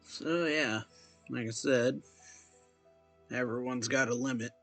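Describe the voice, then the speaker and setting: A man streaming a game in a casual, understated way. His voice sits at a middle pitch, and he corrects his own wording as he reads, with soft game music underneath. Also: slow. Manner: low-key, self-correcting. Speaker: game streamer reacting to story quest. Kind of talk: casual commentary and reading game text aloud. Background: faint game music.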